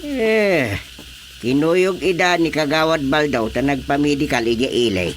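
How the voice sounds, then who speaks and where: A man's voice: fairly high pitch, animated, a little slow, slight background noise. A man with an Ilocano accent playing a village chairman who asks questions in a radio drama comedy. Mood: concerned. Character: male village chairman questioning witnesses about thefts.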